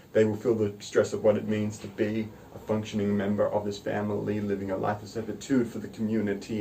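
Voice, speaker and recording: A young man, a student, reciting slam poetry earnestly and in a steady rhythm. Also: on the low side. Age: young adult. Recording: distant pickup with faint noise.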